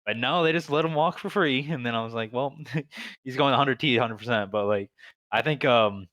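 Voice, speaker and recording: A man's voice: medium pitch, normal speed, fairly bright tone, no room sound, clean studio sound. A man co-hosting a podcast, chatting casually and analyzing the game with lots of "like" and "you know". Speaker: podcast co-host.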